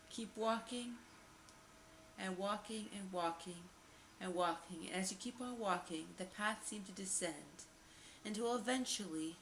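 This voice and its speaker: Woman guiding a meditation in a roomy echo. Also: lowish voice. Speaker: guided meditation host. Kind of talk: guided meditation visualization narration. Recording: roomy echo, faint background noise.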